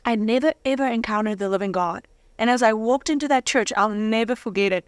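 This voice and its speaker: High, clear-voiced woman giving dramatic testimony. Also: quick pace, no echo, studio quality. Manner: dramatic, candid storytelling with slangy humour. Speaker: interview guest telling her testimony. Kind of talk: animated personal testimony.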